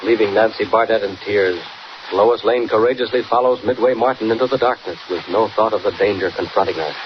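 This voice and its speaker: A man's voice: on the low side, brisk, close to an everyday voice. An American man acting as announcer, narrating a 1940s radio serial with emphatic suspense. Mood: grave, suspenseful. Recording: slightly noisy, muffled 1940s radio recording.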